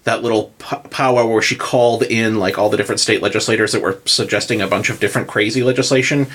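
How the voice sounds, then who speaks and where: An American man with a bright, forceful tone and a husky grain hosts a casual political talk. He is opinionated and wordy, piling clause on clause. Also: fairly low pitch, steady tone, fast. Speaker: podcast host.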